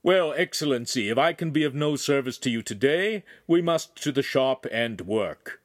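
A man's voice: medium pitch, expressive, normal speed, dry recording, clean studio sound, pitched above the performer's own voice, close to an everyday voice. Man as a polite, firm craftsman father. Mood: firm, placating. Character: protective, deferential middle-aged Italian master craftsman and father.

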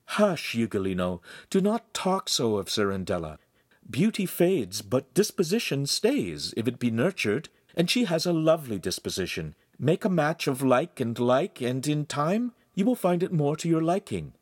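A man voicing a kind, composed young woman in a story, speaking gently and with measured words. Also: mid-range voice, very animated, no echo, studio quality, voice pushed up. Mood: gently scolding. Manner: gentle, measured, lightly scolding.

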